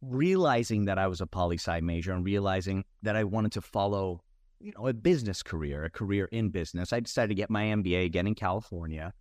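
A man's voice: deep voice, expressive, quick pace, no echo, studio quality. A man around forty, from Turkey with an American accent, telling his career story conversationally as an interview guest. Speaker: podcast guest, finance executive.

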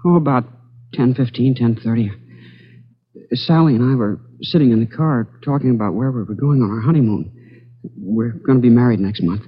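An actor playing a shaken young man who was beaten, speaking in a halting way in a 1940s radio crime drama. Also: medium pitch, breathy edge, lightly acted. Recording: dull lo-fi 1940s radio broadcast with music.

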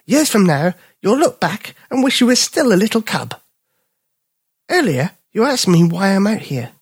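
A storyteller voicing a small old male dog who is polite, gentle and sad. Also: mid-range voice, sing-song, moderate pace, slightly rough, dry recording, studio quality, pitched above the performer's own voice. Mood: wistful. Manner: polite, wistful.

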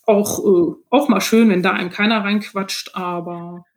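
A woman with a mid-pitched voice talks as a guest author in a relaxed interview. She feels her way through sentences in a conversational, unscripted way. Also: normal speed. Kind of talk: casual interview talk.

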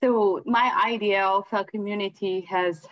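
A woman's voice: medium pitch, fairly even intonation, unhurried. Woman on lo-fi call audio. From Ethiopia. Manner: hesitant, many ums and uhs, soft laughs. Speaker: guest, empowerment coach. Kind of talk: interview.

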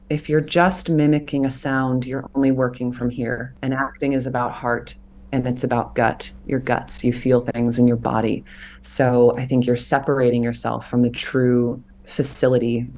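A woman's voice: a bass voice, a little hiss or hum. A woman in her thirties, a voice actor being interviewed, talking earnestly and reflectively about her craft. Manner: earnest, reflective, animated when explaining. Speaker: voice actor guest. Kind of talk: interview conversation.

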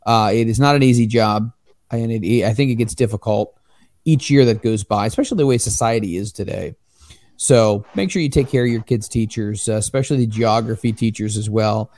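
A man hosting a casual wrestling podcast, loud, excitable and profane. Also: on the low side, fairly quick, dry recording. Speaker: podcast host.

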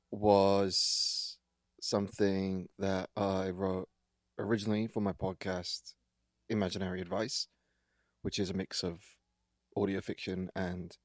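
A man explaining his own work casually as a writer, hesitant and pausing often. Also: low voice, dry recording. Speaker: writer and podcaster, featured artist.

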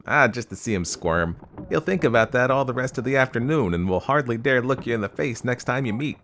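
Casting: brash young storybook gentleman played by a male reader, boyishly eager, teasing, pitched up over faint noise. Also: lively intonation, brisk. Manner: direct, teasing, scornful of caution. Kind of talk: dramatised storybook reading.

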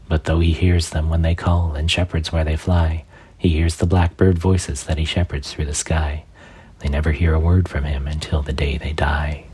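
A man reading poetry aloud in a measured, solemn and lyrical delivery. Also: deep voice, warm tone, husky. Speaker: poetry reader.

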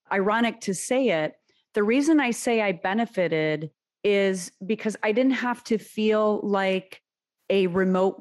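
Woman, executive guest, measured and reflective, middle pitch. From United States. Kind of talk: business interview.

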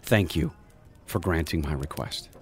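A man's voice: rumbling low, a little slow, breathy edge, faint music behind, voice pushed down, heavily acted. A man voicing a humble army commander who speaks in a reverent, subdued way. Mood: grateful.

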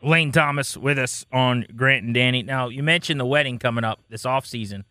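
A man hosts a casual sports radio interview in a bright, punchy voice of medium pitch. He speaks very quickly, and the studio sound is dry. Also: no room sound.

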